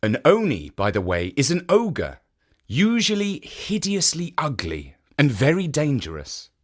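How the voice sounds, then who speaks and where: A man narrates a children's storybook. He takes his time, with measured phrasing. His pitch rises and falls very expressively, in a highly animated way.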